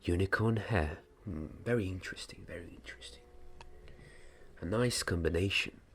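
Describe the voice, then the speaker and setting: A young man in an ASMR roleplay playing a gentle, patient wand maker mentoring an apprentice, speaking softly and breathily, at times in a whisper, with hesitant, deliberate phrasing. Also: low voice. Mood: curious, approving. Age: young adult. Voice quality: soft, breathy, partly whispered. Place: small dim indoor room. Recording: clear background noise and ambience.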